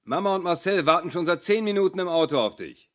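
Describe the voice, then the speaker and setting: An exasperated dad with a fairly high, very animated voice. He urges and scolds in radio play style, fond underneath, in a dry studio. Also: fast, no echo. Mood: impatient. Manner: urging, reproving, expressive. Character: exasperated, stern but fond father. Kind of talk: children's radio play acting.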